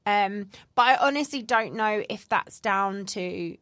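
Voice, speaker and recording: A woman's voice: mid-range voice, a little slow, a bit bright, no echo. A woman in her thirties, chatting candidly as a podcast co-host with lots of fillers. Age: 30s. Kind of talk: casual chat.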